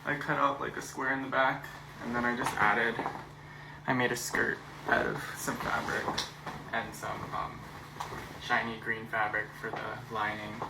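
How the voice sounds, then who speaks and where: A man with a gravelly voice rambles casually about his outfit. He sounds distant in a roomy space, with loud hiss running under the recording. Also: medium pitch, unhurried. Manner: relaxed, rambling. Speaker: TikTok creator.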